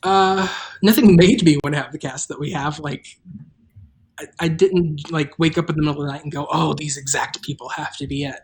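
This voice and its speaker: A livestream host and audio drama creator chatting casually with the cast and viewers in a wry, deadpan way. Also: mid-range voice, brisk, rough recording.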